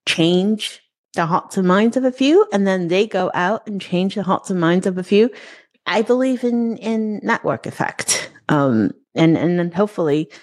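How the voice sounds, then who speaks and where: A woman's voice: mid-range voice, animated, unhurried. A middle-aged woman speaking as a podcast host in a casual interview, earnest and reflective, sometimes feeling her way through a phrase.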